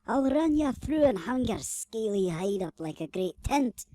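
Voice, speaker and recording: A man voicing a loud, obnoxious, cocky little rabbit-folk knight in a fantasy audiobook, strained and blustering. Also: sits high, big pitch swings, unhurried, no room sound, pitched above the performer's own voice. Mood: blustering outrage. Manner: blustering, defiant.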